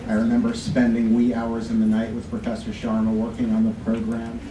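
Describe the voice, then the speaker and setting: A man's voice: mid-range voice, completely flat delivery, brisk, far from the mic. A middle-aged man, a department chair, giving an informal, reflective speech to an audience. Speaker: college English department chair. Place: college classroom at a podium. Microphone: distant camera. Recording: echoey room from afar, audience noise. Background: audience and room noise.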